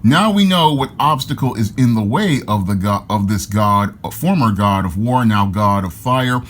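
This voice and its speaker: A man's voice: on the low side, lively intonation, moderate pace. A man giving an enthusiastic, casual comic book review, often repeating himself as he talks. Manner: enthusiastic, repeats "again" and "your".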